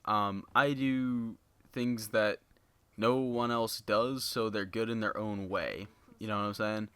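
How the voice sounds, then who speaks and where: A young man talking casually to his viewers in a flat, monotone voice, rambling with lots of fillers into a basic home microphone. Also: lowish voice, slow pace, smooth, no room sound. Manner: rambling, filler-heavy, many "you know"s. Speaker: gaming YouTuber. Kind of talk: casual unscripted commentary to viewers. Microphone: basic low-end home mic.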